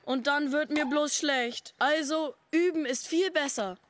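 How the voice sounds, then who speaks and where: A woman's voice: sits high, measured pace, noisy background. A woman voicing an anxious young skater kid in a cartoon, with music behind. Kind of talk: German cartoon dub.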